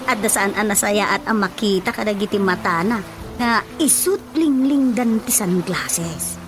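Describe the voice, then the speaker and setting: A woman's voice: medium pitch, measured pace, close to an everyday voice. A woman acting a gossipy, fussing village churchgoer in a radio drama, with an Ilocano accent and exclamatory delivery. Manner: exclamatory, with repeated interjections. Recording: radio drama with loud music bed. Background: background music.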